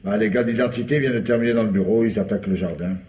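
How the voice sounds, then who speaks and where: Actor as rapid-fire, deferential police subordinate. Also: male voice, fairly low pitch, a little mellow, close to an everyday voice. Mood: dutiful. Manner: clipped, dutiful reporting. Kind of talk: French radio mystery play acting.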